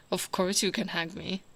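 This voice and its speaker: Slow, tender orc woman guard, acted by a woman. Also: medium pitch. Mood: affectionate, reassuring. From Italy. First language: Italian. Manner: slow, intimate, often dropping to a whisper. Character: tall female orc royal guard, tender, devoted suitor. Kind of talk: ASMR roleplay, spoken softly to the listener.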